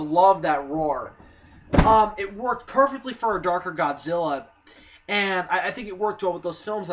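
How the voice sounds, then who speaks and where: Hoarse young man rambling excitedly. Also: sits high. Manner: excitable, rambling, swearing, many ums and restarts. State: hoarse, a little sick. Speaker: movie news vlogger. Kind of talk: casual spoken movie commentary.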